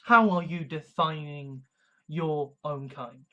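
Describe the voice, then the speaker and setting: Deliberate man, slightly dull audio. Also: a little high, sharp tone. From England. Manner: measured, deliberate, many restarts and fillers. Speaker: video commentator. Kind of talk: casual monologue.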